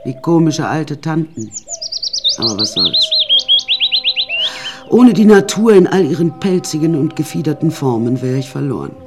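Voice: medium pitch, expressive, normal speed, pauses often, over music, lightly acted. An actor playing an imperious, eccentric older woman painter in a radio drama, haughty and theatrical, addressing the listeners directly. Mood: chatty, affected.

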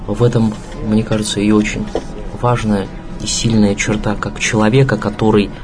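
A man's voice: fairly low pitch, little pitch movement, normal speed. A man giving a reflective spoken tribute, choosing his words phrase by phrase. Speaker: interviewee, colleague giving a tribute.

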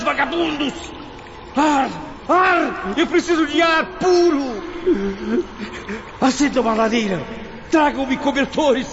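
A man's voice: very high voice, full character voice. An actor playing a blind, wounded man who has taken his own life, frightened and desperate, in a radio drama. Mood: frantic shouting. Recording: dull recording with loud noise behind.

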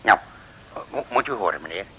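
An actor playing a simple, chatty lighthouse keeper in an old comic radio serial, telling things in an earnest, folksy way. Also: male voice, on the low side, lively intonation, fairly quick, pushed and bright, poor audio. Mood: mysterious, earnest. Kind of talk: 1960s Dutch comic radio serial acting. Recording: hissy old radio recording.

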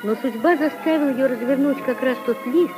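A woman narrating a literary 1950s radio drama in a measured storytelling manner. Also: sits high, over music.

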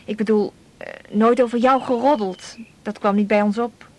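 An actress playing a lovelorn, wistful young woman in a naturalistic radio drama, confiding in a halting, often breathy way. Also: fairly high pitch, fairly even intonation, a little mellow, close to an everyday voice. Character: lovelorn, wistful young woman confessing hopeless love to colleague.

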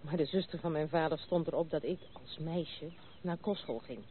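A woman's voice: low-pitched, normal speed, lightly acted. A woman acting a proud, tomboyish young noblewoman in a radio drama. She speaks frankly and with self-assurance. The sound is tinny and narrow, with some hiss and noise from an old recording. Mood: candid, reminiscing. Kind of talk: Dutch radio play acting.

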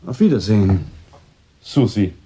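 An actor playing a composed, politely probing man in a radio drama, speaking in a measured way with a mellow, slightly husky voice. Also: fairly low pitch, big pitch swings, heavily acted. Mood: courteous.

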